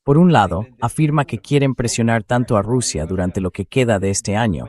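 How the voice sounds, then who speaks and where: A man's voice: mid-range voice, fairly quick, darkish tone, lightly acted. A man's synthetic voice dubbing an interview host in political commentary, reading conversational speech aloud. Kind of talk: AI-read dubbed political commentary.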